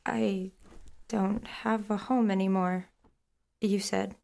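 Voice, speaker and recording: An actress playing a shaken, evasive woman under questioning in an audio drama, speaking in halting, short answers. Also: mid-range voice, level tone, dark tone. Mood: confused, anxious. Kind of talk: naturalistic audio drama acting. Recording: clear background noise.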